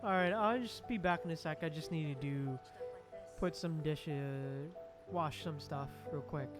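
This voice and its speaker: A woman's voice: deep voice, a little slow, over music. A woman streaming a game, reacting casually in short, offhand remarks. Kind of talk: casual chat, livestream reactions.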